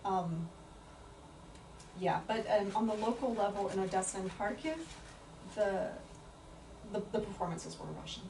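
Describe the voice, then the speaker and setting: A woman's voice: medium pitch, unhurried, pauses often. A woman speaking at a book talk, answering an audience question thoughtfully with many fillers and restarts. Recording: echoey room from far off with faint noise.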